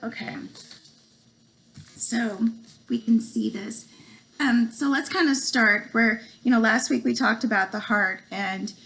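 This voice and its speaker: A woman in her forties with a cold, losing her voice, giving a halting home video lesson full of ums and stumbling over medical terms. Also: on the high side, fairly bright tone, rough recording. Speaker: student presenter. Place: small room at home, indoors. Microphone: laptop webcam.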